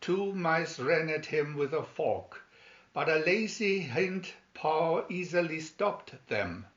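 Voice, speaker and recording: Male narrator for children's fairy tale: unhurried read-aloud, slightly high voice, echoey home recording. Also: lively intonation, fairly bright tone, muffled recording. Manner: deliberate read-aloud delivery. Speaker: storybook narrator.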